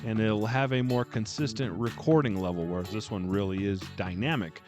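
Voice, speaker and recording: A man with a deep voice giving casual, self-deprecating vlog tips at home, talking close into a dynamic microphone. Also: brisk, music in the background. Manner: chatty, self-deprecating, animated. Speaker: booktube vlogger. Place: home room lined with bookshelves.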